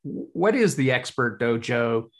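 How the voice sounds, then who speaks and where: A man from England hosting a casual interview, with halting phrasing that circles around its point. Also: medium pitch, very animated. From born in Hull, Yorkshire, England. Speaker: podcast host.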